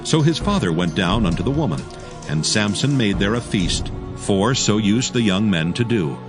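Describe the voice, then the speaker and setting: A man narrating dramatized scripture as a solemn, measured storyteller. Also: lowish voice, lively intonation, music in the background.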